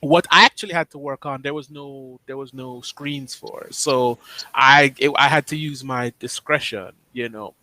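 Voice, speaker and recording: A man's voice: medium pitch, normal speed, bright, forceful tone, dry recording, rough recording. A man, a front-end developer, talking casually on a video call, hesitant, with lots of fillers and restarts.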